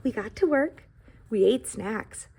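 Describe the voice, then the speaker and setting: A woman's voice: a little high, expressive, slow pace, vocal fry, mellow, breathy edge, heavily acted. A woman voicing a humble, anxious and earnest young pie who tells its own story in a children's storybook, with dramatic emphasis. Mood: reflective, upbeat. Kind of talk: expressive storybook reading.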